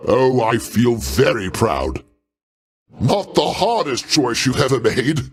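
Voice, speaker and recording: An actor playing a proud, paternal older god in a video game, speaking in a pompous, avuncular way with formal, theatrical diction. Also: male voice, medium pitch, very animated, slow pace, husky, full character voice. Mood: gracious. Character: grandiose, paternal older male Greek god, proud, easily offended.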